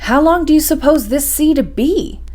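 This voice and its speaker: An excitable young man on an expedition read by a woman storyteller, full of questions and wonder. His lines lift above a middle pitch in this adventure storybook. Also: normal speed. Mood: doubtful. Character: curious, amazed young nephew on an expedition.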